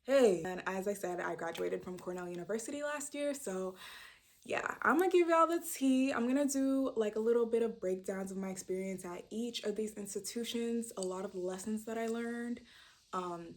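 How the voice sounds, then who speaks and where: A woman in her early twenties from Brooklyn, New York, chatting casually to camera as she introduces her channel, talking freely with many fillers and sudden jumps in pitch for emphasis. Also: moderate pace. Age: 20s. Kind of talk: casual direct-to-camera chat.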